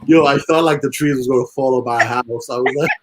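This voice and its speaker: A man co-hosting a casual chat show, talking in a relaxed, slangy, easygoing way. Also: mid-range voice, a little fast, background noise. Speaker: co-host.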